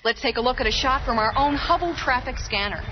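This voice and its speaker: An actress playing a chipper space traffic reporter giving a mock TV traffic report. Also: female voice, high voice, fairly quick, natural voice. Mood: brisk, chipper. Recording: slightly dull, music behind.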